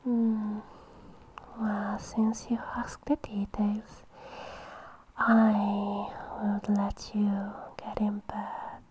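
Woman, breathy intimate whisper, teasing girlfriend role, unhurried and level delivery. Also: on the high side, a little hiss or hum, rough recording. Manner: soft, teasing, affectionate. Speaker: girlfriend in audio roleplay. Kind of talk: whispered intimate audio roleplay.

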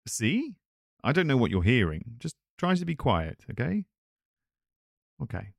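A man's voice: mid-range voice, huge pitch swings, unhurried, vocal fry, no room sound, studio quality, exaggerated voice. A man playing a chatty, nervous voice actor, talking casually to a visitor in a self-conscious, hesitant, easily flustered way. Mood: flustered, defensive. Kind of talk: casual conversational audio roleplay addressed to listener.

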